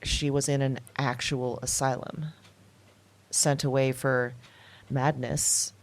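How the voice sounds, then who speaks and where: A woman's voice: rumbling low, level tone, slow, heavily acted. A woman playing a shaken, thoughtful diarist in an intimate audio drama monologue, speaking hesitantly in broken, reflective phrases. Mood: resolved, wondering.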